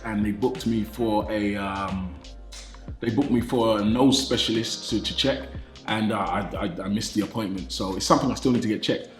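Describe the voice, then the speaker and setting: A man's voice: lowish voice, roomy sound. A British man in his thirties with a nasal voice, talking casually and enthusiastically to his viewers as a fitness YouTuber. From Britain. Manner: enthusiastic, repeats phrases, says "man" often.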